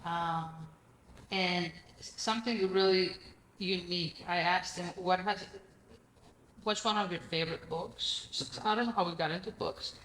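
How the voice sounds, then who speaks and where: A woman from Greece, Greek her first language, telling her story as an interview guest with lively acted-out dialogue. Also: lowish voice, moderate pace, slight background noise. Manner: animated storyteller, acts out quoted dialogue, frequent "I'm like". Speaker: guest, real estate investor. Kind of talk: casual interview chat. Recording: echoey room with faint ambience.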